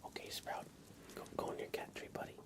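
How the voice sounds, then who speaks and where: A man hosting an ASMR livestream, speaking softly with playful asides as he chats. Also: brisk. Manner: soft-spoken, playful asides to a pet cat. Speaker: livestream host. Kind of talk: whispered ASMR livestream chat.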